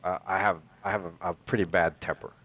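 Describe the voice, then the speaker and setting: A man's voice: deep voice, fairly even intonation, brisk, a bit bright, no echo. A man in his forties from the Philippines, co-hosting a podcast in a casual, rambling way with many restarts. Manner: rambling, restarts, laughs often. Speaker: podcast co-host.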